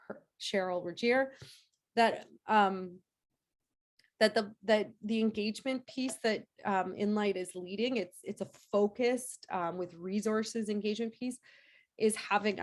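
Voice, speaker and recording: A woman professor answering audience questions after a lecture, hoarse from a cold, with lots of fillers and restarts. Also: medium pitch. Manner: hesitant, many fillers and restarts. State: hoarse, getting over a cold. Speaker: nursing professor giving a lecture.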